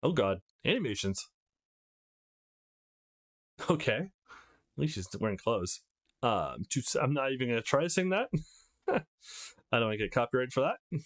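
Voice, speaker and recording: Male let's play host, casual read-aloud narration, medium register, very animated intonation. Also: medium pitch, unhurried, pauses often. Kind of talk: casual let's play, reading visual novel text aloud.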